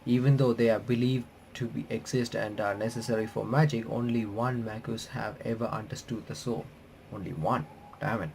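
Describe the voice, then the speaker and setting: A man doing a casual visual novel playthrough, reading the on-screen text aloud in a halting, stumbling way and reacting as he goes. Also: medium pitch, vocal fry, a little mellow, slight background noise, poor audio. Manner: halting, stumbling reader who rereads phrases.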